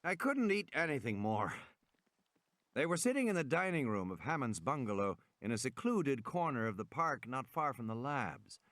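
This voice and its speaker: A man reads fiction aloud as a dramatic audiobook narrator, with a measured delivery and very animated pitch movement. His voice sits in an ordinary male register and shapes each phrase expressively. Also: no room sound, studio quality.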